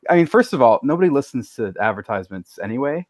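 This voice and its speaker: A man's voice: mid-range voice, big pitch swings, fast talker, a little mellow, no room sound, studio quality. A man talking casually as a podcast panelist, conversational and a little self-deprecating.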